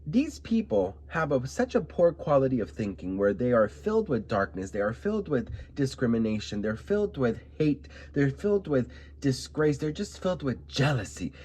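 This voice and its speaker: A man's voice: mid-range voice, big pitch swings, moderate pace, darkish tone. A young man from Miami giving sassy, emphatic commentary to camera, often adding "okay?" asides. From Miami, United States. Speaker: vlogger commenting on celebrity news.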